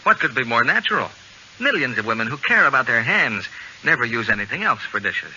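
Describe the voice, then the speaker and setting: A man's voice: medium pitch, big pitch swings, brisk, bright, forceful tone. A man announcing on 1940s radio with scripted advertising patter. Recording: muffled vintage radio with faint hiss.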